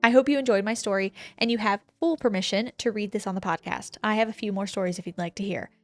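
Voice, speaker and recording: A woman co-hosting a paranormal podcast, reading a listener's ghost story aloud with expressive emphasis. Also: mid-range voice, quick pace, dry recording, soft background music. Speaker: podcast co-host.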